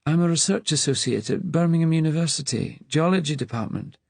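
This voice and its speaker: A man's voice: a little high, a little fast, gravelly, a little mellow, no echo, pitched above the performer's own voice. A man voicing a withdrawn male patient, a former scientist, answering tersely and hesitantly. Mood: subdued.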